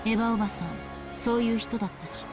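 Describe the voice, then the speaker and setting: A woman's voice: a little high, fairly even intonation, very fast, natural voice. A woman playing an embittered young woman in a Japanese visual novel, speaking in a cold, subdued manner. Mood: cold, defiant. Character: embittered young woman facing the witch who tormented her. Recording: game audio over music.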